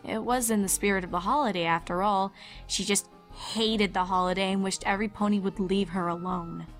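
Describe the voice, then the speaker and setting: A woman's voice: medium pitch, steady tone, a little fast, soft background music. A woman reading scripted storybook narration as the narrator of a tale.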